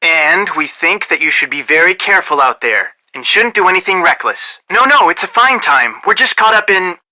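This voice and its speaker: Young male game detective, very bright forceful voice, dry recording, wry, earnest, articulate. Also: sits high, sing-song, moderate pace, no room sound, full character voice, rough recording. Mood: mildly exasperated. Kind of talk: video game voice acting, conversational.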